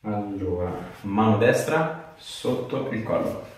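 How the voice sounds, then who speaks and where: Low-voiced man in an echoey room. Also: animated, slow pace. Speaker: chiropractor. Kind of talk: hands-on exam talk with short questions and instructions. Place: small bare treatment room, indoors. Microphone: camera a few feet away. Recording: echoey room, distant mic, faint noise.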